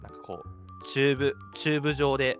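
A man's voice: medium pitch, quick pace, fairly bright tone, soft background music. A young man co-hosting a radio show, explaining things in a casual, conversational way. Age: young adult. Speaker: radio co-host.

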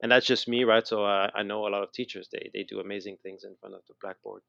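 A middle-aged man with a Quebec French accent tells his story in a casual interview, halting and often repeating words mid-sentence. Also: lowish voice, fairly even intonation, gravelly, fairly bright tone, no echo, lo-fi sound. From Montreal, Canada. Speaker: interview guest, educator and designer.

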